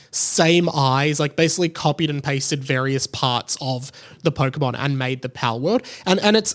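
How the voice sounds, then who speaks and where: A young man with a fairly high-pitched voice talks as a podcast host. He gives casual commentary, leaning on key words for emphasis and dropping "like" often as he works through his point. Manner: conversational, emphatic, heavy use of "like".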